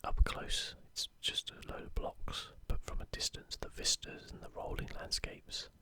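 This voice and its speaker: An ASMR host speaking entirely in an unhurried, gentle whisper, presenting to viewers. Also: very high voice. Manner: whispering throughout. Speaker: video host.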